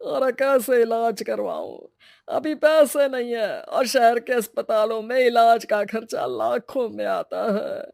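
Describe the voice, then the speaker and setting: A woman's voice: a little high, takes it slowly, vocal fry, a little mellow, no echo, studio quality. A woman voicing a poor, worried elderly village father in a cartoon, with plaintive, colloquial delivery. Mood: distressed. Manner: plaintive, lamenting. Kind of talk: Hindi moral-story cartoon dialogue.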